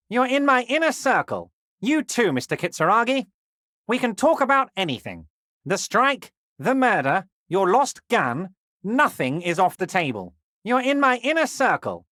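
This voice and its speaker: A corrupt, scheming union boss for a video game, his voice pitched unusually high for a male and swinging theatrically up and down. He is oily and patronizing, full of false friendliness, in a dry, close recording. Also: pushed and bright, dry recording, full character voice.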